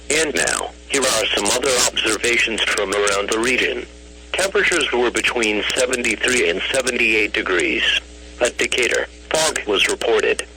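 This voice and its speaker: A synthetic man's voice reading a scripted weather bulletin over the radio, formal and flat, in clipped phrases. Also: mid-range voice. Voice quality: synthetic text-to-speech voice. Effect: radio broadcast. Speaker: automated weather radio announcer. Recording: noisy, humming weather radio broadcast recording.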